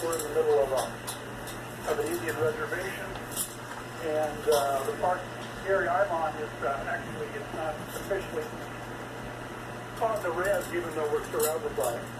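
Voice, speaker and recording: A man's voice: fairly high pitch, moderate pace, pauses often. An American man heard over shortwave radio, chatting casually as a ham operator in a folksy, wry, rambling way. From West Coast of the United States. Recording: noisy, echoey shortwave radio reception.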